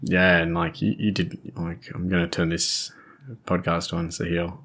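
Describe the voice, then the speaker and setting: A man's voice: low voice, completely flat delivery, normal speed, creaky, a bit bright, breathy edge. An Australian man hosting a podcast, chatting casually and rambling, often restarting and searching for words. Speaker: podcast host. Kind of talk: casual chat.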